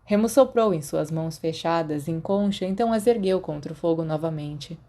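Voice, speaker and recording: A woman's voice: low voice, normal speed, mellow. A woman with a Brazilian accent reading a scripted story as an audiobook narrator. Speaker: audiobook narrator of fan fiction.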